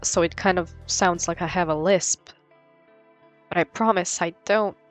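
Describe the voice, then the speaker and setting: A woman's voice: lowish voice, steady tone, measured pace, soft background music. A young woman narrating a casual vlog in an informal, sweary way. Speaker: vlogger, artist.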